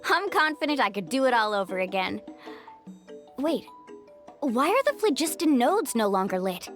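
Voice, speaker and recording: A woman's voice: on the high side, big pitch swings, moderate pace, fairly bright tone, faint music behind. A woman playing a confident, determined young tour guide in a video game, speaking earnestly and with assurance. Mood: elated.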